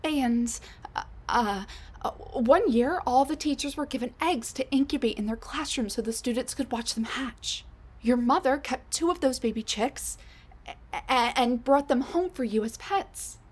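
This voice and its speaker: A woman voicing a scatterbrained older woman scientist who has traveled back in time to meet her husband. She stammers and restarts, full of fillers, and sometimes drops to a hushed mutter. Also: high-pitched, measured pace, breathy edge. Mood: flustered, pleading. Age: middle-aged. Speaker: audio roleplay performer. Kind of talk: audio roleplay drama.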